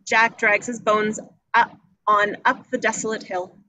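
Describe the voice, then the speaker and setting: A woman's voice: fairly high pitch, little pitch movement, unhurried, bright, forceful tone, poor audio. A woman reading scripted action descriptions as narrator at a live table read.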